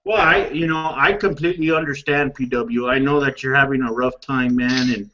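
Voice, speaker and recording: A man's voice: medium pitch, steady tone, moderate pace, sharp tone, dull audio. A man hosting an online panel, talking in a friendly, reassuring way. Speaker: channel host. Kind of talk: casual group chat.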